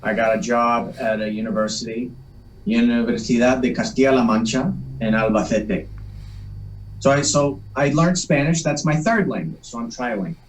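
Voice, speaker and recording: A man, Polish by upbringing, tells long, meandering stories with dry jokes at his own expense. His voice sits at an average pitch. The sound is roomy and echoey, and the mic is some distance from his mouth. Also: moderate pace, a little hiss or hum. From Massachusetts, USA. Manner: rambling, self-deprecating joker. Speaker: guest, actor. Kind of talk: casual interview storytelling.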